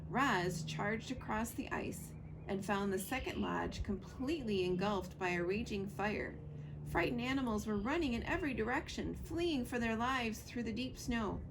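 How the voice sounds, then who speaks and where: A woman's voice: a little high, expressive, normal speed. A woman reading a children's story aloud as a librarian would, recorded on a screened porch. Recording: clear background noise of outdoor porch.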